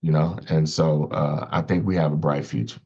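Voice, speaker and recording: Deep-voiced man, dull lo-fi sound. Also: flat delivery, mellow, husky. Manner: polite and affirming, halting fillers. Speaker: podcast guest, collections director. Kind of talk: casual interview chat.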